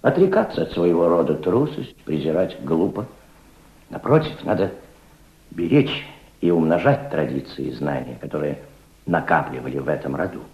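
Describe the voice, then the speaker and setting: A man's voice: a bass voice, lively intonation, normal speed. An actor playing a proud, cultured middle-aged aristocrat turned revolutionary diplomat, reflective, oratorical and fatherly, in a radio drama. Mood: earnest, admonishing. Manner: reflective, oratorical, fatherly toward a young listener. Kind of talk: Soviet radio drama acting. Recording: dull, roomy old radio play recording.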